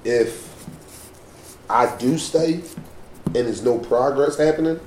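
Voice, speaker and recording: A man's voice: mid-range voice, slow pace, a little mellow. A man in his thirties co-hosting a casual chat, telling stories in a laid-back, profane way. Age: 30s. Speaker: podcast co-host. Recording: roomy room, faint hiss.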